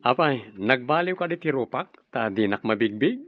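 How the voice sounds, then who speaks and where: A man's voice: mid-range voice, lively intonation, moderate pace, no echo, narrow, tinny audio, lightly acted. A man with a Filipino accent playing a devoted, eager young husband in a radio drama, speaking earnestly. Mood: concerned. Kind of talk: Ilocano radio drama acting.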